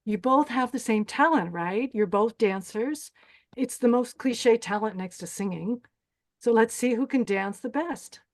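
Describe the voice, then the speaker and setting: A woman's voice: a little high, a little slow, close to an everyday voice. A woman playing a menacing, deranged pageant host who bosses and threatens contestants in a screenplay table read. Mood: bossy, sardonic. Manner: bossy, threatening.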